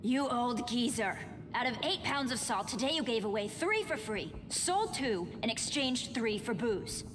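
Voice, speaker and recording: A sharp-tongued scolding wife, reverberant. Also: female voice, a little high, lively intonation, measured pace. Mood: angry, exasperated. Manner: scolding, exasperated. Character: furious, sharp-tongued wife berating her foolish old man. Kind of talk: video game voice acting. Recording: noisy ambience with long reverb.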